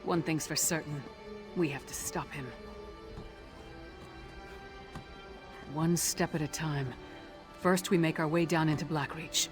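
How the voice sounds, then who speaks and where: An actress playing a tough, battle-hardened warrior scout in a video game, blunt, commanding and dry. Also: female voice, deep voice, steady tone, moderate pace, over music, close to an everyday voice. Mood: grimly determined. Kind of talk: video game quest dialogue.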